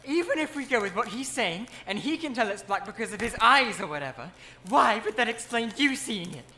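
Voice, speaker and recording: A woman's voice: a little high, animated, brisk, sharp tone, a little hiss or hum. An actress playing a doubtful young woman who hedges and hesitates with many fillers. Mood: uneasy. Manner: hesitant, filler-heavy, unsure.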